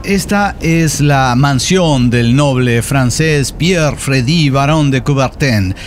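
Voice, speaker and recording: An actor playing a cautious, easily startled time traveller in a comic radio play, hesitant and exclaiming. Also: male voice, medium pitch, takes it slowly, slight background noise, natural voice. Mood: earnest, explanatory. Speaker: radio theatre performer.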